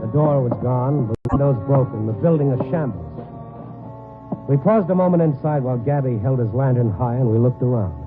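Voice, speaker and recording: An actor playing a wry, steady hard-boiled newspaperman who narrates a 1950s radio noir drama. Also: medium pitch, brisk, music in the background, natural voice. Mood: tense, wry narrating. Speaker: narrator and lead character. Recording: narrow-band old 1950s radio broadcast recording.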